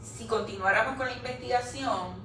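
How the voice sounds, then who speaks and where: A woman's voice: a little high, moderate pace, a bit bright. A middle-aged woman, standing to present her research chapter to an audience at a book presentation, explaining it step by step. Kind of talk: academic talk at a book presentation. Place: room at a live presentation event. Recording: echoey room, distant mic, background noise.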